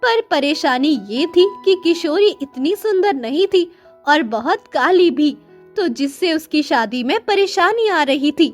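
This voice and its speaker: A woman storyteller narrating a scripted moral tale with expressive storybook delivery. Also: sits high, unhurried, soft background music.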